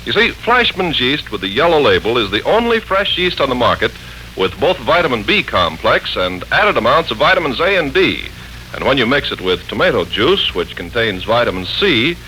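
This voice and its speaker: Animated American radio announcer man. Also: mid-range voice, slight background noise, low-quality recording. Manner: polished, persuasive, emphatic. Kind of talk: 1940s radio commercial announcing. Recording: old 1940s radio broadcast recording.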